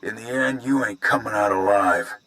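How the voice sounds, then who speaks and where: An actor playing a blustering, belligerent male pirate boss in a video game, rough-spoken and threatening. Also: lowish voice, moderate pace, sharp tone, low-quality recording. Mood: menacing.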